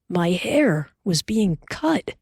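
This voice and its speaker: A woman reading aloud who voices a steady, teasing pioneer father in a low, plain, earnest way. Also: extremely slow, creaky, husky, dry recording, clean studio sound, full character voice.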